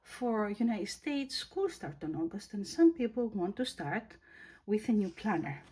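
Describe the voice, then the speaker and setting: Slow, husky Greek woman reviewing planners. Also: medium pitch, sing-song, dark tone. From Greece. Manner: slow, thoughtful, searching for words. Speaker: planner vlogger. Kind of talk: casual product review.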